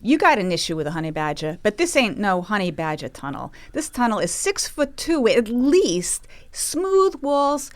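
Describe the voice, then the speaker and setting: A woman's voice: mid-range voice, sing-song, normal speed, hardly any pauses, natural voice. A woman playing a self-important, skeptical amateur scientist and reporter in an improvised audio drama, emphatic and argumentative. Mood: exasperated, smug.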